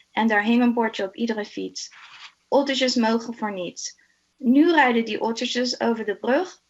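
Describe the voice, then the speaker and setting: Middle aged dutch-native woman reading poetry, lots of vocal fry, bit lo-fi. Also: fairly high pitch. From Netherlands. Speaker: hospital research director reading a poem. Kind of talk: personal introduction and poetry reading.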